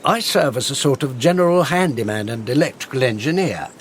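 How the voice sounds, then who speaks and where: A man's voice: mid-range voice, fairly quick, reverberant, slight background noise, close to an everyday voice. An actor playing a deferential working-class handyman and electrical engineer in a radio drama, chatty and proud of his work. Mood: proud, friendly. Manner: deferential, chatty, says "Mum".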